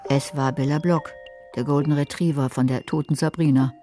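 A woman's voice: sits low, steady tone, normal speed, close to an everyday voice. An actress playing a wry, scheming woman who narrates a radio drama in a dry, sardonic manner. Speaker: narrator and main character. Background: music.

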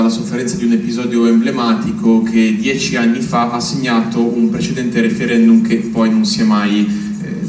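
A man acting as a polite, insistent interviewer presses his points in a stage play, hesitating briefly as he goes. His medium-pitched voice echoes over clear background noise. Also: darkish tone, natural voice. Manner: probing, hesitant eh before points. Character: probing male news interviewer, polite but insistent. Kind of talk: naturalistic stage drama acting, news interview. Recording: roomy, distant, clearly noisy stage recording.

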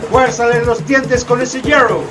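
A man's voice: very high-pitched, fairly even intonation, measured pace. A man acting in a community radio drama as a cruel, commanding slave ship captain. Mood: cold, commanding. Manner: commanding, cold. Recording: somewhat distant mic, music underneath.

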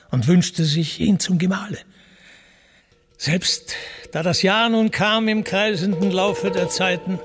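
A man's voice: sits high, vocal fry. A man reciting classical epic verse as a storyteller, in a solemn, declamatory, dramatic manner. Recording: music under the voice. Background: musical accompaniment.

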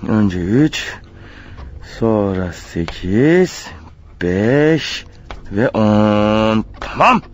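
A man's voice: mid-range voice, slight background noise, natural voice. An actor in a radio play voicing a desperate, nervous man turned amateur burglar, hesitantly talking to himself. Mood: tense, furtive, then excited. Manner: hesitant, muttering to himself, rehearsing and exclaiming. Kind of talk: radio play monologue, thinking aloud. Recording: dull lo-fi radio drama over music.

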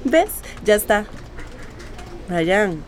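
An actress playing a friendly, caring young internet café worker in a radio drama, with a Costa Rican accent. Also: female voice, medium pitch, big pitch swings, drawn-out words, darkish tone, a little hiss or hum. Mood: busy, concerned. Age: young adult. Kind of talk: naturalistic radio drama acting.

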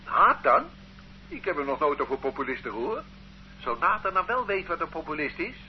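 Brief: anxious but stubborn accused man, lively pitch, muffled old recording. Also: fairly quick. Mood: defensive. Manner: flustered, indignant. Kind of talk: radio drama acting. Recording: dull old radio recording with faint hum.

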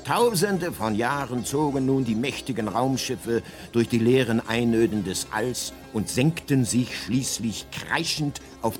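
The voice of a guidebook narrating a radio play. He is a mid-pitched man with lively, swooping intonation, grand and dry at once, with faint music behind him. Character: the narrating guidebook, measured, dryly grandiose male storyteller. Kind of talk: scripted narration in a radio drama.

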